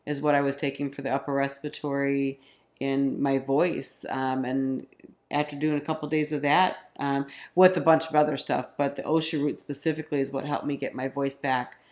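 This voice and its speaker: Woman around forty, little raspy from a cold, easy chatty home vlog talk, lots of ums. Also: rumbling low, normal speed. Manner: relaxed, chatty storyteller with ums. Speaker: vlog co-host. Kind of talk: casual chat. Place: living room, on a couch. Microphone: camera in front.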